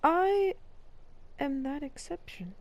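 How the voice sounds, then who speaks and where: A woman's voice: high-pitched, big pitch swings, slow, lo-fi sound, slight background noise. A woman streamer giving casual, playful gameplay commentary, reacting with self-deprecating humor. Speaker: video game streamer. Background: game music.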